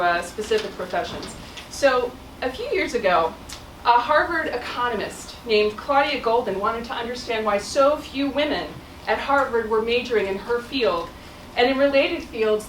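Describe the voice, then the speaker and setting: A woman's voice: mid-range voice, slight background noise. A middle-aged woman giving a conversational public talk with frequent fillers, heard without a mic from across a room. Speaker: speaker. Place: auditorium. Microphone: no mic, room pickup from afar. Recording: echoey hall from far off.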